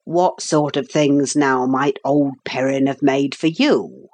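A woman puts on an old working man from a storybook, a carpenter with a lowered voice and slow, unhurried delivery. He is friendly and teasing, with homely, rustic turns of phrase. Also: fairly even intonation, a little mellow, exaggerated voice. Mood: encouraging, proud. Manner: slow, kindly, rustic ungrammatical speech. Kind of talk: storybook character voice in a read-aloud.

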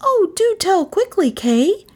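A woman's voice: very high voice, big pitch swings, unhurried, darkish tone, pitched above the performer's own voice, exaggerated voice. A woman voicing an anxious, loyal little girl with an earnest, pleading way of talking in a storybook.

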